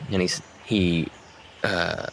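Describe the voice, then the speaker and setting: A man's voice: low-pitched, flat delivery, extremely slow, gravelly, fairly bright tone, slight background noise, low-quality recording. A man acting as game master, narrating a tabletop roleplaying game in descriptive, conversational prose.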